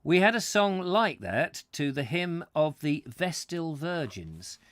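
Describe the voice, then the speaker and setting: A man's voice: fairly high pitch, very animated, slow pace, a bit bright, no room sound, studio quality, pitched above the performer's own voice, full character voice. A man voicing a hearty Roman centurion reminiscing in an exclamatory storytelling manner.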